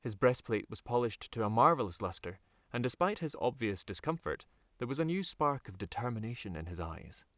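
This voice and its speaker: A man reading a dark fantasy audiobook in a measured, dramatic storytelling manner with deliberate pauses. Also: mid-range voice, very animated, no room sound. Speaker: author reading his own novel. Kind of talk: scripted audiobook narration.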